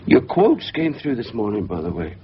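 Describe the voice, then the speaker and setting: A man's voice: mid-range voice, huge pitch swings, normal speed. An actor in a radio drama playing a hesitant, guarded insurance salesman who keeps his feelings private. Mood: guarded, sad. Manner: hesitant, evasive. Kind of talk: 1990s radio drama acting. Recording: muffled, noisy radio drama recording.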